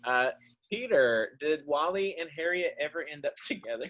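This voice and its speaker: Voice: mid-range voice, animated, moderate pace, fairly bright tone, a little hiss or hum, rough recording. A host chatting casually on a group video call, reading out questions and laughing often. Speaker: host of a cast question session.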